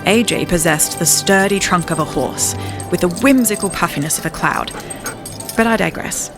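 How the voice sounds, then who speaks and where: A woman narrating a scripted audio fable in a measured, wryly ornate storybook manner. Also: fairly low pitch, over music, natural voice. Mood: wry storytelling. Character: wry, grandiose storybook narrator of an island fable.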